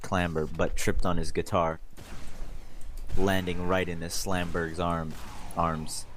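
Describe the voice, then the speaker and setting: A man's voice: low-pitched, flat delivery, slow pace, a bit bright. A man giving a dramatic, mock-serious reading of fan fiction, like a theatrical storyteller. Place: online voice chat during a live stream. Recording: noisy group voice-chat stream.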